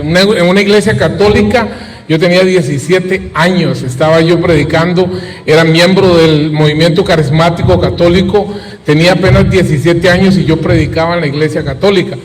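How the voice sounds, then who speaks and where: A man in his late fifties with a Costa Rican accent, giving testimony and preaching to an audience. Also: high-pitched. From Costa Rica. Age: late 50s. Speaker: evangelical preacher. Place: large hall with an audience. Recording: echoing hall.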